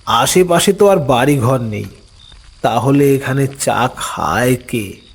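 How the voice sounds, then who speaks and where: Slow-talking male storyteller. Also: mid-range voice, expressive, creaky, breathy edge. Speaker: storyteller narrating as the uncle. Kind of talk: slow dramatic scripted first-person story narration.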